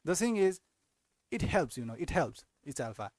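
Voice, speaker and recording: A man's voice: fairly high pitch, big pitch swings, normal speed, darkish tone, no room sound. A young man from Nepal hosting a casual fantasy football live-stream Q&A, often restarting sentences and adding tag questions. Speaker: fantasy football channel host.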